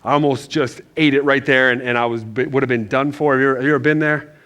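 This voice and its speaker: Man, casual storytelling sermon, animated and self-deprecating, from northern virginia, mid-pitched voice. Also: fast talker. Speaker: pastor. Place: church.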